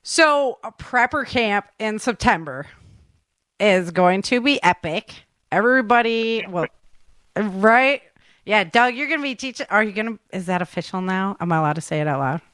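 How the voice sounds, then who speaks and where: An American woman from the Great Lakes region hosting a casual chat in an animated, expressive way with slangy exclamations. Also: mid-range voice, moderate pace, no echo, clean studio sound. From Great Lakes region, United States. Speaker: host.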